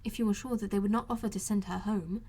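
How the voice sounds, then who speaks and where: A woman's voice: mid-range voice, steady tone, fast, dark tone, husky. A woman reader plays a shrewd young daughter from a classic novel. She sounds sensible and composed as she reasons. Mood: reasoning.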